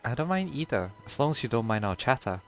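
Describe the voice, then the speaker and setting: Female playthrough streamer, remarkably low pitch, casual chatty commentary, soft game music underneath. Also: sing-song, fast.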